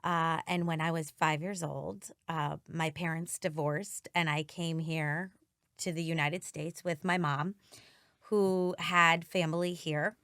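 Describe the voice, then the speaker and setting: Female guest from Argentina, unhurried and easygoing, smooth voice, dry close sound. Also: deep voice, fairly even intonation, a bit bright, dry recording. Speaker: guest, choreographer. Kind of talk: casual interview.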